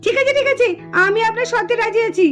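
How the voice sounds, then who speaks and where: A woman's voice: extremely high pitch, fast, a bit bright, faint music behind. A woman voicing an irritable, easily startled, proud young aunt in a puppet cartoon, scolding with dramatic indignation. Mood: nervous, then proud. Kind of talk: exaggerated Bengali doll-play cartoon acting.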